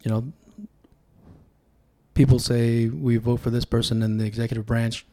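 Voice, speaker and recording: A man from Sacramento, California, whose first language was Tongan, speaks as a podcast guest. His voice is dark and mellow, sitting at an ordinary middle pitch. He talks in a relaxed, reflective way and tells stories as he goes. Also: moderate pace. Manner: reflective, storytelling. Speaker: podcast guest, political candidate. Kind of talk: casual podcast conversation.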